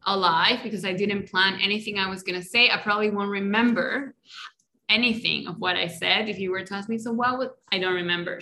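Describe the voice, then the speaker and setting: A woman's voice: mid-range voice, a little hiss or hum. A woman from Colombia with a Colombian Spanish accent, speaking English as a second language as a guest on a podcast interview. She talks in a reflective, casual way and often checks in with "right?" and "you know.". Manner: warm reflective talk with many "right?" and "you know" tags. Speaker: guest, life coach.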